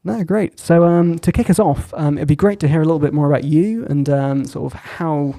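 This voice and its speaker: A man hosting a podcast in a dry, close recording. His voice is a little higher than average, gravelly and mellow. He asks questions with a friendly, halting manner. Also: dry recording. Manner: friendly, hesitant with many ums. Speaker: podcast host. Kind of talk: casual podcast interview.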